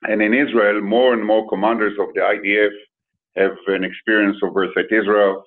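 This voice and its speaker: A man's voice: fairly low pitch, flat delivery, fast talker. A man who is not an English speaker, telling stories as an interview guest with many fillers and restarts. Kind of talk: conversational storytelling. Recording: phone-like narrow sound.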